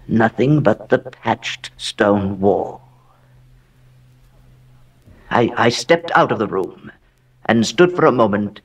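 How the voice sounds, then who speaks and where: An actor playing a haunted, aristocratic heir who recounts Gothic horror in a theatrical, deliberate way with dramatic pauses. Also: male voice, on the high side, a bit bright, far from the mic, exaggerated voice. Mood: alarmed, horrified. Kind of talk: dramatic horror narration, audio drama monologue.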